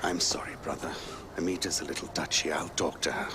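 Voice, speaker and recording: An actor playing an earnest, measured rebel commander in a video game cutscene. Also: male voice, low-pitched, steady tone, lightly acted. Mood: defensive, apologetic. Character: earnest rebel commander, welcoming but harried by war. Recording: noisy game soundtrack with outdoor ambience.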